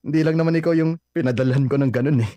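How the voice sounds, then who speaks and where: An actor playing a lovesick young ex-boyfriend who dodges questions and laughs things off in a radio drama. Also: male voice, mid-range voice, very fast, dark tone, dry recording, natural voice. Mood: evasive. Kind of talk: Filipino radio drama acting.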